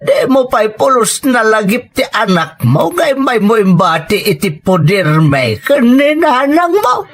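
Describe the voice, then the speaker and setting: A man's voice: high-pitched, sing-song, unhurried, few pauses, exaggerated voice. A man playing a blunt, protective old grandfather in an Ilocano audio drama, with a Filipino accent and an emphatic, reproachful delivery. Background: music.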